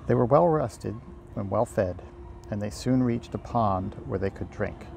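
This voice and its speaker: A man's voice: fairly low pitch, a little slow, gravelly, darkish tone. A man reading a storybook aloud informally outdoors, in an unpolished way with occasional stumbles. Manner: unpolished, stumbles and rereads lines. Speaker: amateur audiobook reader and host. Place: outdoors by a river. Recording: faint outdoor ambient noise. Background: faint outdoor ambience.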